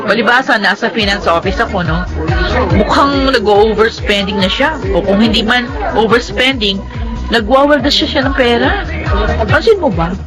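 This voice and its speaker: High-voiced Filipino actress as a candid office friend. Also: female voice, lively intonation, normal speed, loud music behind the voice, lightly acted, poor audio. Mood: gossiping, disapproving. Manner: conversational, questioning. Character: wary, candid female office co-worker and friend. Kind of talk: Filipino radio drama acting.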